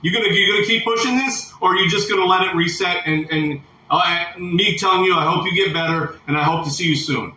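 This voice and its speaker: A man argues over the phone as a shift supervisor, his high voice piercingly bright. He lectures and cuts in, sounding irritated. The recording is roomy with faint noise underneath. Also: a little fast, hardly any pauses. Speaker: work supervisor taking call-offs. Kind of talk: heated phone argument.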